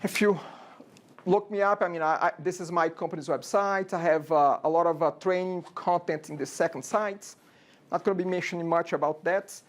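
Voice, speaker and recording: A man's voice: a little high, normal speed. A man in his forties from Brazil, with a Brazilian accent, giving a casual classroom lecture as a programming instructor and often checking in with "okay?" and rhetorical questions. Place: university classroom.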